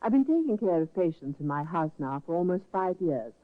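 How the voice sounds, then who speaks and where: A composed, gracious nurse in a 1950s radio play, reassuring with a lively, varied pitch. The sound is narrow and tinny, like a restored old broadcast. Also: female voice, a little fast. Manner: smooth and reassuring. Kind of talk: 1950s radio drama acting.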